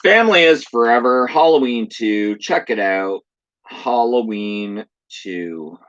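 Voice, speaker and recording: A man's voice: mid-range voice, lively intonation, slow, a bit bright, dry recording, dull audio. A man hosting a casual video chat, reading box blurbs aloud and talking about his collection while losing his voice. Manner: reads box blurbs aloud in a chatty, offhand way. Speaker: channel host. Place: room at home.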